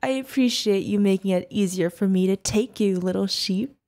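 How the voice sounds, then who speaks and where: A woman's voice: medium pitch, normal speed, a little mellow, lightly acted. A woman voicing an insane, sadistic female yandere killer in an ASMR roleplay, creepy and giggling, with teasing, singsong threats. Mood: quietly menacing. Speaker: voice actor.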